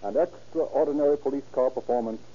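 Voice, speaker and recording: A man's voice: medium pitch, brisk. A man reading scripted narration as a 1930s radio announcer, with a formal, dramatic delivery. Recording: muffled 1930s radio recording.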